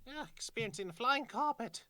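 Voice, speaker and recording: Woman voicing flustered woman character, stammering, animated pitch, bright. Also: brisk, no echo, studio quality. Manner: stammering, rising and excitable. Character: flustered woman who rode a flying carpet.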